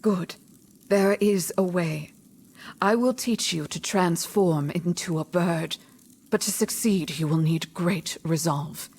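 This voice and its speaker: An actress playing a solemn, all-knowing mystic mentor in a video game, measured and cryptic in manner. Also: female voice, on the low side, darkish tone, slightly rough, exaggerated voice. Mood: guiding. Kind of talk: video game cutscene acting.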